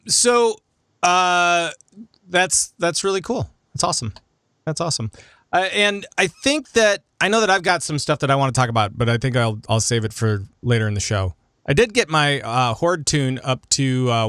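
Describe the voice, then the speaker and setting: A man's voice: medium pitch, lively intonation, moderate pace, a bit bright, clean tone, no echo, clean studio sound. A man hosting a gaming podcast in a casual, joking, self-deprecating way. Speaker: podcast host.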